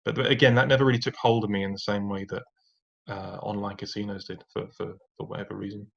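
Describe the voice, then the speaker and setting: A man with a rather low voice speaks as a podcast guest, reflective and candid. He hesitates often and restarts his sentences. The recording sounds dull and lo-fi. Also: flat delivery, quick pace, gravelly. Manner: reflective, many fillers and restarts, occasional rueful laughs. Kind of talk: candid personal story.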